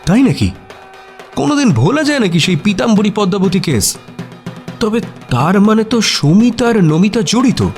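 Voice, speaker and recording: A man acting a detective's puzzled, admiring friend who narrates a mystery audio story in an expressive, suspenseful way. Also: high-pitched, normal speed. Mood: surprised. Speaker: narrator and sidekick character. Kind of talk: dramatized first-person audio story narration. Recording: music behind the voice. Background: music.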